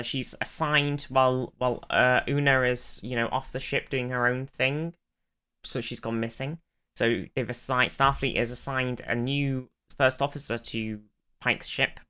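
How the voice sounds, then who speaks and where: A man co-hosting a podcast, chatting casually about sci-fi in an animated, excitable way, with some swearing. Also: medium pitch, clean tone. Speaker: podcast co-host.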